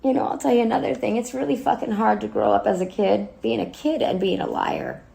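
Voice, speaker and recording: A woman acting a wounded, bitter grown daughter in a dramatic monologue, speaking haltingly and emotionally with a gravelly voice. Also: medium pitch, big pitch swings, mellow. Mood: bitter, hurt. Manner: halting, emotional, with wry laughs and swearing. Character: bitter, wounded grown daughter addressing her dead mother. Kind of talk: dramatic monologue cold read.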